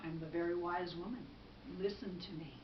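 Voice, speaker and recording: A woman voicing a calm, self-assured wise old woman in a folktale, musing and then giving firm instructions. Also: lowish voice, voice pushed down, close to an everyday voice. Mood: calm, instructing. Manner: hesitant oohs, then firm slow instructions. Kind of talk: oral folktale storytelling. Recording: distant mic, echoey room, dull, faint noise.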